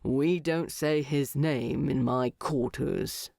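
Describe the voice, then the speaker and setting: A woman voicing a gruff, grumbling dwarf king with a dry manner in a narrated story. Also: very deep voice, slow, a little mellow, no room sound, voice pushed down, exaggerated voice.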